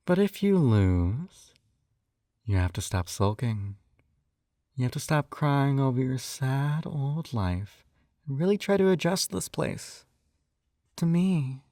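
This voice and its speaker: A man plays a possessive yandere hypnotist in an intimate audio roleplay. He takes his time, coaxing and doting with a faintly condescending edge. His voice sits at an ordinary male pitch, recorded very dry. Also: sing-song, takes it slowly, pauses often, mellow, dry recording. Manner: coaxing, doting, slightly condescending, often sighing. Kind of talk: intimate scripted roleplay acting.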